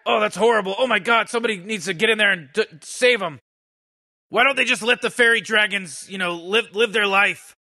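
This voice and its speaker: A man's voice: high voice, a little fast, sharp tone, exaggerated voice. A man voicing a cynical old male mad scientist, sarcastic and rambling, stammering over his words as he mocks game characters. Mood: sardonic. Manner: sarcastic, rambling, stammered restarts, barking insults. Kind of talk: comedic commentary on game characters.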